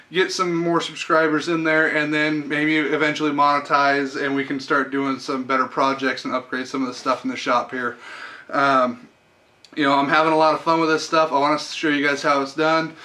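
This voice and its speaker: A man's voice: a little high, bright, forceful tone. A man in his thirties hosting a woodworking channel, giving a casual, rambling update to the camera from a small indoor workshop. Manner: chatty and rambling, many ums and uhs. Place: small indoor workshop under fluorescent lights. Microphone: camera mic close in front.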